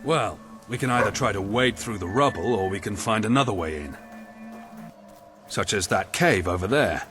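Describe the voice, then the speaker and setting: Guy acting a wary sardonic officer in a game, lowish voice, dry and well spoken, music behind him. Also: lively intonation, moderate pace, natural voice. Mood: dry, observant. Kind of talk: scripted video game dialogue.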